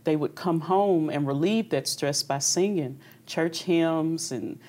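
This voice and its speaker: A young woman from Kentucky, an opera singer being interviewed, talking in a relaxed, reflective way with easy laughs. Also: sits low, slow. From Kentucky, United States. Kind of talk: conversational interview.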